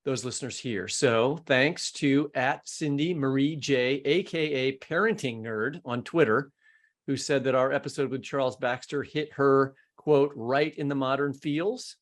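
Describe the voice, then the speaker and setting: An American man co-hosting a podcast, moving between scripted introductions and informal, joking conversation. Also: medium pitch. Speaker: podcast co-host.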